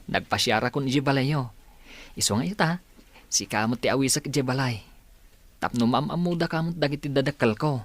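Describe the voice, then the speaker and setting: A man's voice: mid-range voice, fairly quick, long pauses, dry recording, natural voice. A man acts a teenage schoolboy in a radio play. He is a friendly, persuasive pal. His speech carries an Ilocano accent. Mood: reassuring. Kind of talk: radio drama acting.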